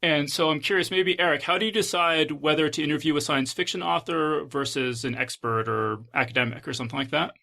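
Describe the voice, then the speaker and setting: Gravelly, bright-voiced male podcast host. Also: fairly high pitch. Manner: hesitant, halting questions. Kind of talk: casual interview.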